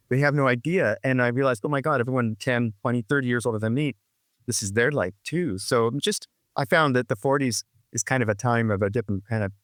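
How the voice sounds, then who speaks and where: A man's voice: medium pitch, fairly bright tone, no echo. Man in his sixties, musician guest, reflective and rambling, restarts often, dry close sound. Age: 60s. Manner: rambling, full of restarts and "you know, like". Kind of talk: reflective conversational interview.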